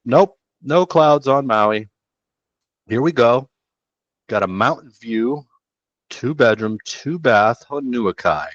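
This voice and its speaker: A man's voice: medium pitch, lively intonation, slow, pauses often, no echo. A middle-aged man hosting a real estate show, chatting casually and slangily through listings on a headset from a small room. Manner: laid-back, slangy, chatty. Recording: dull dry home recording.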